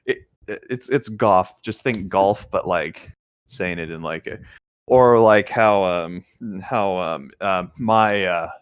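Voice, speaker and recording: A man's voice: sits low, slow, smooth, no echo, clean studio sound. A man co-hosting a read-along show, moving between casual chat and reading a story aloud.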